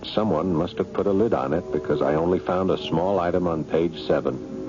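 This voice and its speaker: An actor playing a wry everyman who tells his own spy story casually in a radio drama. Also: low voice. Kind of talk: first-person radio drama narration. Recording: dull lo-fi radio broadcast, music behind.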